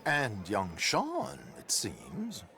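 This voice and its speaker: An actor playing a grandiose conman with a measured, formal, theatrical delivery in a video game cutscene. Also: fairly low pitch, huge pitch swings, creaky, sharp tone, slight background noise, exaggerated voice. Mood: grave.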